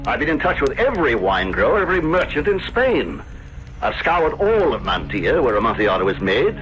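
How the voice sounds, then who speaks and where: A man's voice: medium pitch, sing-song, a little fast, fairly bright tone, soft background music, poor audio. An actor playing a proud, hard-pressed male wine grower in debt, emphatic and indignant, in a radio drama. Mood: indignant, exasperated. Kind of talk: 1970s radio drama acting.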